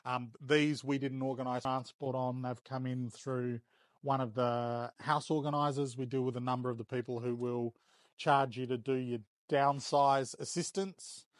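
A man's voice: medium pitch, little pitch movement, normal speed, no echo. Aussie bloke in his 50s explaining stuff, kinda hesitant and matter of fact, dry sound. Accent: Australian. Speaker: auction house operator. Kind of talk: casual explanatory interview talk. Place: indoors, warehouse auction rooms and workshop.

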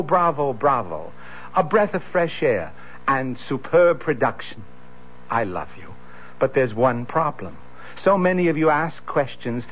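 A man addressing listeners as a radio producer in a measured, courteous scripted announcement. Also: fairly high pitch. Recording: dull vintage radio recording.

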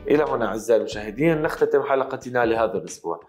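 A man's voice: medium pitch, expressive, very fast, darkish tone. A man with an Arabic accent presenting and interviewing formally as a show host. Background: background music.

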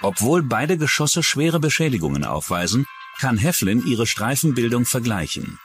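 Male documentary narrator, true-crime script, mid pitch with animated swings, faint music beneath. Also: moderate pace.

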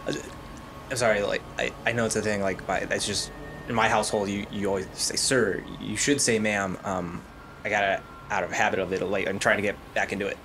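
A man playing a tabletop role-playing game, talking casually in a halting, hesitant way. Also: fairly low pitch, little pitch movement. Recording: clear background noise.

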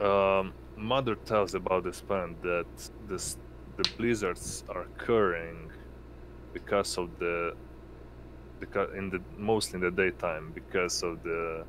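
A man improvising narration as game master, halting as he searches for words. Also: low-pitched, little pitch movement. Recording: noisy voice chat with background hum.

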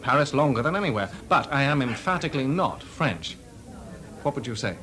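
An actor playing a witty young composer in a 1980s radio drama, talking dryly and ironically. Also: male voice, medium pitch, sing-song, brisk, natural voice. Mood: wry. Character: composer Delius, young man, wry and witty. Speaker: lead actor. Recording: party crowd behind.

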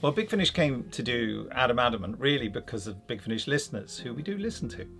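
A man's voice: mid-range voice, big pitch swings, fast, creaky, fairly bright tone. A man in his fifties, a director, talking casually in an interview. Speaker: audio drama director. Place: studio room, indoors. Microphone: lapel mic. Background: music.

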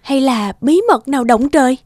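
A woman voicing a sweet, curious young bride in a fairy-tale cartoon, wondering and expressive. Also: sits high, moderate pace. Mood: curious, tempted. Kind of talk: animated fairy-tale dubbing.

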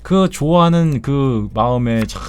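A man's voice: medium pitch, expressive, unhurried, a little hiss or hum. A man in his forties, a game streamer, chatting casually with viewers in a joking, rambling way with blunt swearing. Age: 40s. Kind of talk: casual live-stream chat while gaming.

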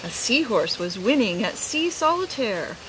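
Woman, middle-aged teacher, lively pitch, storytelling, rain noise behind her. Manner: unhurried, animated. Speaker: teacher reading a picture book to pupils. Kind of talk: expressive read-aloud storytelling. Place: outdoors under a roof in a garden.

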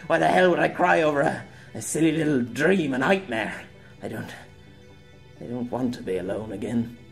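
A man playing a grown man who mutters halting words to himself after a nightmare. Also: medium pitch, animated, creaky, soft background music. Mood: shaken.

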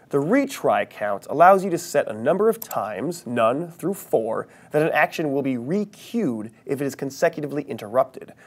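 Man, thirties, medium pitch, animated intonation, scripted instructional narration, stressed key terms. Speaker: training video presenter.

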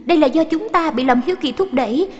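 A woman's voice: high-pitched, animated, fast, darkish tone. A woman playing an earnest, devout female wanderer in a dubbed cartoon. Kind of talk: dubbed animation acting. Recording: muffled audio with long reverb.

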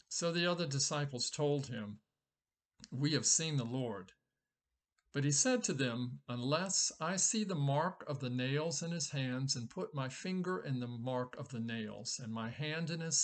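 Slow, gentle older pastor. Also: male voice, medium pitch, creaky. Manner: slow, gentle storytelling aimed at children. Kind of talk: scripture reading and children's sermon. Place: small room at home. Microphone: webcam at desk.

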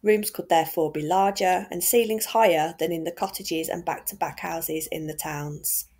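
A woman from England talks through a walking tour of old homes. Her voice sits a little low and has a husky, slightly breathy edge. She narrates in a chatty, enthusiastic way, as if showing a friend around. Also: fairly even intonation, moderate pace, darkish tone. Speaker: vlogger and tour guide.

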